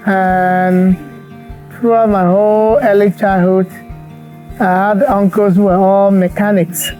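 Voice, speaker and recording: A man in his forties from Cameroon, a doctor and cancer researcher, speaking earnestly and reflectively in a documentary interview, pausing as he thinks. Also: high voice, level tone, a little mellow, faint music behind, poor audio. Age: 40s. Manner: earnest and reflective, with thoughtful pauses.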